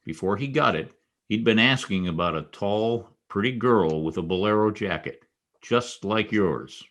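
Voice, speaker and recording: A man's voice: lowish voice, lively intonation, unhurried, a bit bright. An American man playing a tough, wry private detective in a 1940s radio noir style, clipped and slangy. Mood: pressing, wry. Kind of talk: 1940s radio noir acting with first-person narration.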